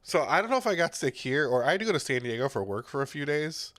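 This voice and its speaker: A man's voice: fairly high pitch, sing-song, fast, a bit bright, no room sound. A young man with a stuffy head cold, talking casually on a podcast in a rambling, self-deprecating way with many restarts. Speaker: podcast co-host. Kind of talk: casual chat.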